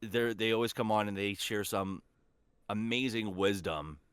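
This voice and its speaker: A man's voice: fairly low pitch, moderate pace, fairly bright tone, smooth, no room sound. A man chatting casually as a voice actor guest on a podcast, speaking in an enthusiastic, earnest way.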